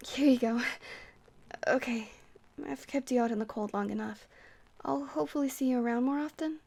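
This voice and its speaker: A woman's voice: a little high, normal speed, gravelly. A woman playing a shy, friendly vampire who rambles hesitantly and flirts sweetly in an ASMR roleplay. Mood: shy, warm. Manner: hesitant, rambling, soft-hearted, awkwardly stammering.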